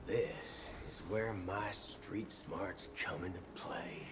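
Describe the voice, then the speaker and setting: An actor playing a brash, folksy, roguish cowboy gunslinger with a swaggering, theatrical delivery in a video game. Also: male voice, deep voice, steady tone. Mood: brash, indignant. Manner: swaggering, theatrical, drawn-out emphasis. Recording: game music behind the voice.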